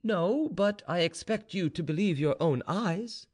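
A man voicing a sly, obsequious serf informer who is quietly confident, deferential and insistent, in a storybook tale. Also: high-pitched, lively intonation, unhurried, voice pushed up.

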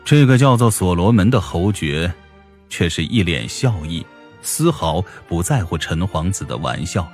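A man with a deep voice narrates a fantasy novel from a script. He works as an audiobook storyteller, and faint music plays beneath the narration. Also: very animated, normal speed.

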